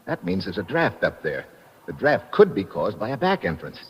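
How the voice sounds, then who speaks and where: Deep-voiced tough American hero. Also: male voice, huge pitch swings, normal speed. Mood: urgent. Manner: terse, wry, slangy. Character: tough, wry hard-boiled American adventurer hero. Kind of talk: 1950s radio drama acting. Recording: muffled old radio recording, reverberant, noisy.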